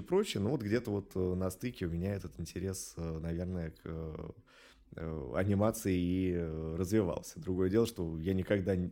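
A middle-aged man giving thoughtful interview answers in a reflective, measured way with hesitant pauses. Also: low-pitched, creaky, mellow. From Russia. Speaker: animation studio director, interview guest.

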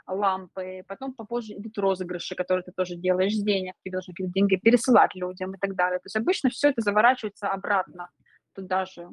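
A woman speaking as a streamer guest in a relaxed interview, chatty, laughing often and filling pauses with hesitations. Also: mid-range voice, dry recording. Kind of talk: casual interview chat.

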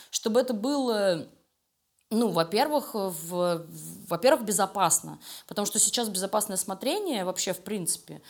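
A woman's voice: mid-range voice, darkish tone. A Russian woman giving conversational interview answers in an emphatic, colloquial and enthusiastic way. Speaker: animation studio creative director, interview guest.

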